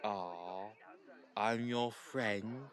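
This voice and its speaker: A man's voice: lowish voice, drawn-out words, a bit bright. A man playing a drunk, boisterous crew member who slurs and turns sentimental. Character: drunk, rowdy young male crew member.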